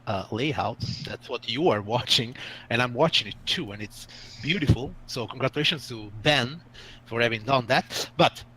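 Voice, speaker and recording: A man's voice: medium pitch, a bit bright, a little hiss or hum, low-quality recording. Hesitant male game master. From Italy. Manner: hesitant, many filler sounds. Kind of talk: hosting a tabletop game stream.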